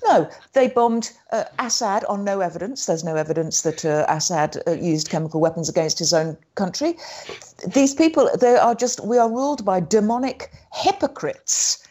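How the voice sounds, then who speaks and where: Halting woman ranting. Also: mid-range voice, mellow. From United Kingdom. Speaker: panel guest. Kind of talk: casual panel discussion.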